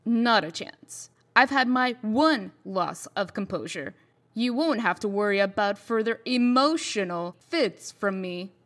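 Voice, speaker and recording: Woman playing a proud, slow-speaking fairy-tale heroine. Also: mid-range voice, animated, fairly bright tone. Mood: composed, resolute. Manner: formal, firm, measured. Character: composed, proud woman holding back worry. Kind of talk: comic dub drama acting.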